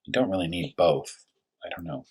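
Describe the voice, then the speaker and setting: A man with a low, husky voice speaks as a primary school teacher during a story read-aloud. He explains things simply for young children, wonders aloud in questions, and draws out important words for emphasis. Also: sing-song, normal speed. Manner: thinks aloud with rhetorical questions. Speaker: first-grade teacher reading a story aloud.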